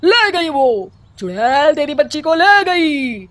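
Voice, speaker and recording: A woman's voice: high voice, sing-song, takes it slowly, fairly bright tone, no room sound, pitched above the performer's own voice, heavily acted. A woman voicing a domineering, scheming male village headman in a cartoon story, bossy and scolding. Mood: ominous.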